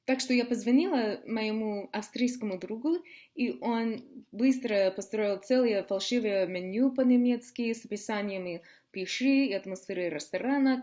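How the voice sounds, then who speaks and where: Woman speaking wry, Russian. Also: mid-range voice. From United States. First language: English. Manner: wry, deadpan asides, switching into English. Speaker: vlogger. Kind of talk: casual vlog monologue.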